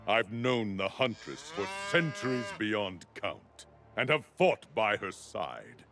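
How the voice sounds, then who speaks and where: A man playing a huge, proud, ancient Minotaur in a video game, declaiming slowly and grandly with a gravelly voice. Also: on the low side, very animated, full character voice. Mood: proud, solemn. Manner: grandiose, slow theatrical declamation. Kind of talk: video game character dialogue. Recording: game music under the voice.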